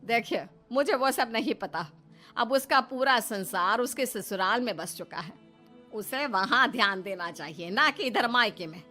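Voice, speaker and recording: A woman's voice: fairly high pitch, lively intonation, measured pace, pushed and bright, soft background music. A woman playing a stern, scolding middle-aged mother-in-law in a cartoon story, blunt and reproachful.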